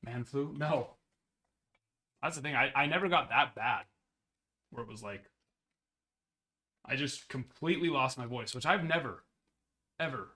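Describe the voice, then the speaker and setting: A man hosting a sports card break livestream, chatting casually with viewers in a slightly hoarse voice. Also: a little slow, pauses often, bright, forceful tone. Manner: chatty, rambling, upbeat sales pitches.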